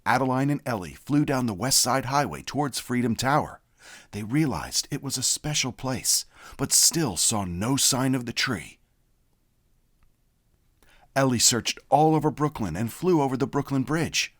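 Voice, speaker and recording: A man narrates a children's picture book. His delivery is deliberate and expressive, and his pitch moves around freely as he tells the tale. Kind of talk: scripted read-aloud narration.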